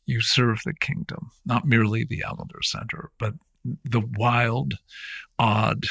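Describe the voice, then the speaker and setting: Husky, gravelly-voiced male podcast host. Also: medium pitch, little pitch movement, a bit bright, no echo. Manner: rambling, halting, many restarts, earnest. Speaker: podcast host, founder of the center. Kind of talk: warm conversational podcast interview.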